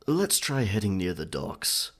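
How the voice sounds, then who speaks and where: Casting: male actor as courteous royal knight, lowish voice, formal chivalrous phrasing, earnest, roleplay audio drama. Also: sing-song, unhurried, exaggerated voice. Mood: cautious planning. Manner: formal, chivalrous, wordy speech.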